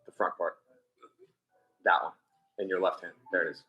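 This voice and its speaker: A man's voice: low voice, little pitch movement, normal speed, sharp tone, faint music behind. A man organizing an event, giving friendly, practical instructions about the microphone. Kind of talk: casual stage remarks.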